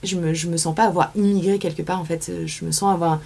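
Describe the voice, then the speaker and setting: A woman's voice: fairly low pitch, steady tone, fast talker, vocal fry, darkish tone, husky. A woman in her thirties from France with a French accent, chatting casually as an interview guest, full of fillers and restarts. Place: small studio set, indoors.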